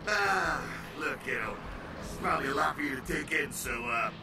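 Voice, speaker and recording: An actor playing a brash, irreverent older American vampire mentor in a video game, folksy and slangy with mocking asides. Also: male voice, on the high side, expressive, moderate pace, gravelly, distant mic, noisy background. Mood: amused. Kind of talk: video game character dialogue.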